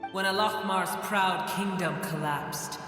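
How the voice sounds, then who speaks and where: Ancient game dragon, very slow, drenched in echo, solemn, grandiose, weary. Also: medium pitch, sharp tone, faint music behind. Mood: bitter, grave. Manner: slow, solemn, archaic formal speech. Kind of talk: dramatic video game acting. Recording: heavy echo in a large hall.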